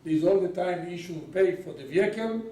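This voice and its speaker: A man with a fairly high voice gives a statement to the news as an organization's chairperson. He sounds distant from the microphone in an echoey space. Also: brisk, mellow. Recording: echoey room, far from mic.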